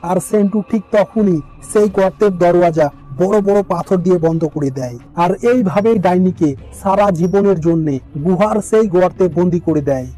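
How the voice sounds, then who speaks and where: A man's voice: sits high, warm tone, low-quality recording. A man narrating a scripted fairy tale as a storyteller.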